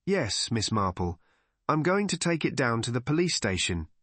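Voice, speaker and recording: A man playing a courteous, measured village vicar in an audiobook mystery. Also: medium pitch, big pitch swings, fairly bright tone, dry recording. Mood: helpful, calm.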